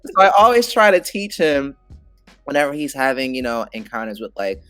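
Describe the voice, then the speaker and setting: A man's voice: medium pitch, sing-song, a little fast, bright, forceful tone, faint music behind. A man co-hosting a podcast, chatting loosely with lots of fillers. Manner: casual, lots of "like" and "you know". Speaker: podcast co-host. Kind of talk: casual interview talk.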